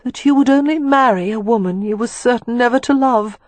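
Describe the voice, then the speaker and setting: A woman voicing a stubborn, frightened young woman who speaks high, slow and breathless. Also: darkish tone, dry recording, close to an everyday voice. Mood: hurt.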